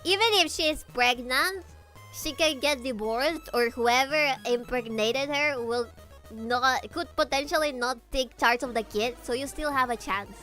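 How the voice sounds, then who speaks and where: A young woman chatting sarcastically with her viewers while she plays, close on a desk microphone. Her voice sits a little higher than most. Quiet game music runs behind her. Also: measured pace. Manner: chatty, sarcastic, reacts to chat and laughs often. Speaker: VTuber streamer. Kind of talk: casual gameplay stream chat.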